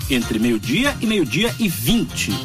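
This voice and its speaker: A man's voice: medium pitch, sing-song, slow pace, music in the background. A Brazilian man presenting a scripted radio station announcement to listeners.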